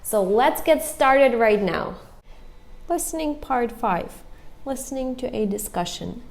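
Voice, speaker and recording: A woman's voice: fairly high pitch, expressive, takes it slowly, gravelly. A woman explaining listening test questions and answers, step by step and methodically. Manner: methodical, repeats options step by step. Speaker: English test prep teacher.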